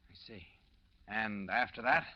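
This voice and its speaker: A man acting an earnest, steady newspaper reporter, probing and reassuring, in 1940s radio style. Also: on the low side, unhurried. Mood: troubled. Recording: dull old radio recording.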